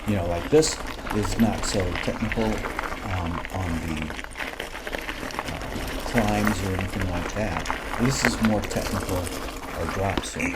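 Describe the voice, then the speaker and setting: A man in his sixties giving relaxed, rambling commentary over his mountain bike ride footage, with plenty of fillers. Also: low voice, very noisy. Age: 60s.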